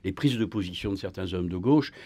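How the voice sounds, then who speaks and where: A man speaking as a historian guest in a radio debate, emphatic and full of restarts, his pitch rising when he presses a point. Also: expressive, fairly quick, dark tone, slightly rough, no echo. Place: radio studio.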